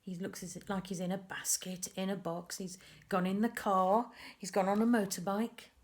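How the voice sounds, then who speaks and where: A woman reading a picture book aloud to young children, with expressive, deliberate emphasis and chatty asides about the pictures. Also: mid-range voice.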